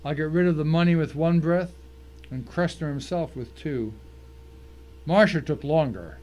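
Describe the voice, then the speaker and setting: A man's voice: fairly high pitch, normal speed, darkish tone, natural voice. A man playing a tense, hard-bitten thriller narrator who tells his own ordeal in dramatic scripted first person. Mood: tense, grim.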